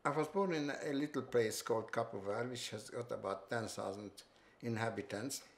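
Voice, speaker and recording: An older man with a Hungarian accent, recounting his life story in a halting way, restarting and repeating phrases, in a living room. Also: mid-range voice, a little hiss or hum. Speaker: interviewee. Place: bright living room, seated on sofa.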